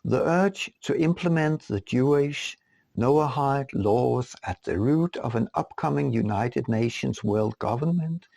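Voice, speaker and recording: A man reading his own written text as an audiobook narrator, in a deliberate, rhetorical manner. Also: mid-range voice, gravelly, a little mellow, dry recording. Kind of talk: scripted narration.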